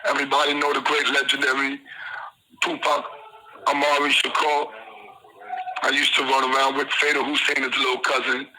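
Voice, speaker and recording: A man's voice: medium pitch, monotone, moderate pace, pauses often, pushed and bright, slight background noise. A man from Trinidad telling his story over a phone line in a rambling, street-slang way. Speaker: incarcerated guest on the phone. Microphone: phone call.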